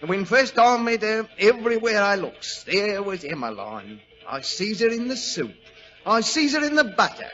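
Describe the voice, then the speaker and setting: Casting: chatty English railway guard, working-class, sniffly, high swooping voice, vintage radio play, muffled sound. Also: male voice, big pitch swings, normal speed, fairly bright tone, soft background music. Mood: rambling, wistful. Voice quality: sneezy, stuffed-up with a cold. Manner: chatty, folksy, rambling. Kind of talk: 1940s radio acting.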